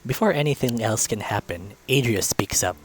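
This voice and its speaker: A man's voice: mid-range voice, expressive, normal speed. A man reading visual novel narration aloud in a storytelling style. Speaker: visual novel narrator, let's-play reader.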